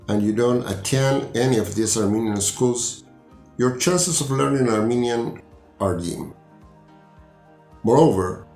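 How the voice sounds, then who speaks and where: A man in his sixties from Argentina, a native Spanish speaker with an Argentine Spanish accent, reading a personal testimony in a measured, deliberate way. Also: medium pitch, darkish tone, roomy sound. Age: 60s. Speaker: language student.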